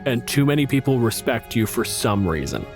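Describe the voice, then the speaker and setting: A man's voice: mid-range voice, sing-song, moderate pace, a little mellow, soft background music. A man chatting casually and frankly as a podcast co-host and game master, swearing freely.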